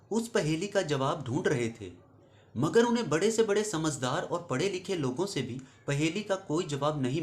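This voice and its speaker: A man telling a scripted moral story as a host, addressing listeners in an earnest, instructive way. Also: on the high side.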